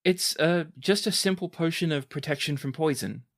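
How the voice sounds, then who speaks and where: A man's voice: on the high side, normal speed, fairly bright tone, voice pushed up. A man voicing a polite, deferential robed cleric in a tabletop fantasy game. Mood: obliging. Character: courteous, obliging robed cleric offering potions.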